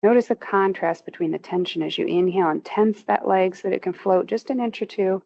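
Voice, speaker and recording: A woman leads a guided muscle relaxation with slow, soothing cues, her voice rising with emphasis each time she calls for tension. Her tone carries a gravelly fry, and the recording sounds somewhat muffled. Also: mid-range voice, dark tone. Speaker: movement instructor.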